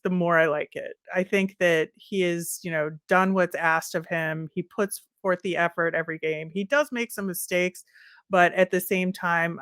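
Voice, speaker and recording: A woman with a slightly low voice chats as a sports podcast co-host. She lays out her thoughts in a measured, conversational manner. The recording is dry and free of room sound. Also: dry recording. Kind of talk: casual hockey discussion.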